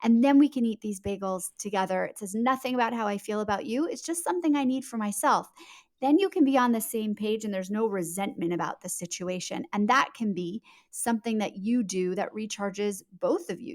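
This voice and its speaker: A woman speaking as a guest author, explaining in a conversational interview style, fluent and emphatic, addressing the listener directly. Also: medium pitch.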